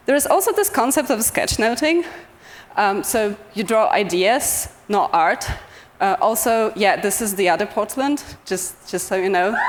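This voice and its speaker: A young woman from Poland with a Polish accent, a non-native English speaker giving a cheerful, self-deprecating conference talk. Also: medium pitch. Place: conference hall. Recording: long hall reverb.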